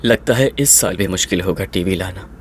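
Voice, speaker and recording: A man playing a caring, hard-pressed father and husband in an animated story, earnest and placating. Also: low voice, brisk, slight background noise, natural voice. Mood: apologetic, earnest.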